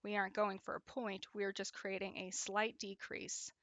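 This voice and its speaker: A woman's voice: mid-range voice, flat delivery, moderate pace, fairly bright tone, no room sound. A young woman streaming her crochet work, chatting casually with viewers and laughing often. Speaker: craft streamer. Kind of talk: casual chat while crocheting and reading chat.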